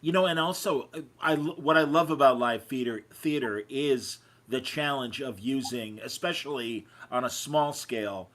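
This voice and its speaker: A man's voice: mid-range voice, moderate pace, hardly any pauses, a bit bright, a little hiss or hum. A man hosting a casual interview, responding conversationally and reflecting on what his guests have said. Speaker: podcast host, interviewer.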